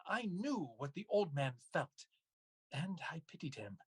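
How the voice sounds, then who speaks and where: Man acting a theatrical, wildly expressive mad murderer. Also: on the high side, breathy edge, dry recording, exaggerated voice. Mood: sly, suspenseful. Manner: deliberate, with dramatic pauses and repeated emphasis. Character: mad, gleeful murderer confessing his crime. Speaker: stage actor and audiobook narrator. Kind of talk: theatrical dramatic reading of a gothic horror tale.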